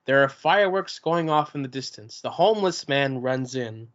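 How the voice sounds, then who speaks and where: A man's voice: mid-range voice, lively intonation, moderate pace. Man plainly reading stage directions. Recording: dull lo-fi recording.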